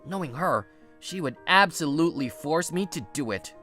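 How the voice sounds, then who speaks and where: A woman's voice: deep voice, lively intonation, moderate pace, fairly bright tone, soft background music. A woman voicing a stubbornly guarded, exasperated young male office recruit in a manga dub, narrating and speaking his lines defensively. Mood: guarded, resigned. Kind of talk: dramatized first-person story narration and dialogue.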